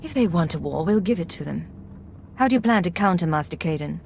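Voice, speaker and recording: A woman's voice: on the low side, animated, brisk, creaky, a little mellow, slight background noise. A woman playing a cool, confident Imperial agent in a video game, terse and self-assured. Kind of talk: dramatic video game acting.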